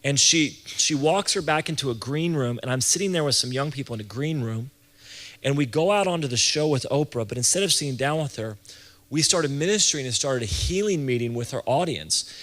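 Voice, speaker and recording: A man of about forty speaks as a ministry leader teaching a group, with the long reverb of a hall around his voice. His pitch sits in an ordinary middle range. He talks informally and haltingly, doubling back and repeating phrases as he goes. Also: fairly bright tone. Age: late 30s to early 40s. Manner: conversational, halting, often restarts and repeats phrases. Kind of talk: informal sermon-style teaching talk to an audience.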